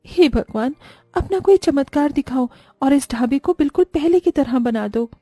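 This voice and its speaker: A woman's voice: high voice, expressive, moderate pace, dark tone, dry recording. A woman voicing a caring, resourceful wife in a cartoon, speaking in an earnest, concerned way. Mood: worried. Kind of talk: scripted cartoon dialogue.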